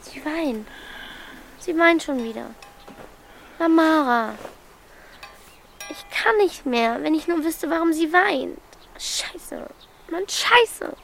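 A woman's voice: high-pitched, gravelly, a bit bright, a little hiss or hum, full character voice. A woman playing a brash, restless thirteen-year-old girl in a radio drama, with blunt, slangy speech. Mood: desperate. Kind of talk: German radio play acting.

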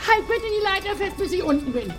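A woman playing a caring, cheerful hotel housekeeper who gently encourages a child in a radio play. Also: very high-pitched, sing-song, fast talker, echoey room, music in the background. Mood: busy, upbeat.

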